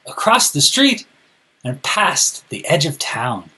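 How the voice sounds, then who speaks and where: A man reading aloud to children in an expressive, deliberate way, addressing them directly like a school counselor. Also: on the high side, slightly rough.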